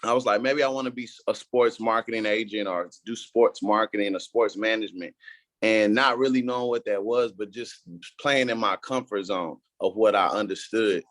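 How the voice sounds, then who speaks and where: A man's voice: lowish voice, normal speed, fairly bright tone, no echo. A young man from Houston, talking casually as a podcast guest in a laid-back, slangy way. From Houston, Texas. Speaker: guest entrepreneur.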